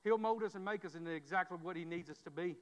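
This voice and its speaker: A man's voice: sits high, fast talker. A man preaching a sermon, with frequent repeated words and restarts from a stammer. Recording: dull sound, long church reverb.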